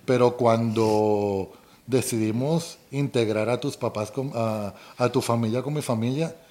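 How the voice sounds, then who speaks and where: A man's voice: medium pitch, a little slow. Easygoing colombian guy, middle-aged, light accent, chatting with his wife, lots of ehs and restarts. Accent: Colombian, softened. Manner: relaxed, rambling with restarts and fillers. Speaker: co-host. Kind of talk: casual couple's chat.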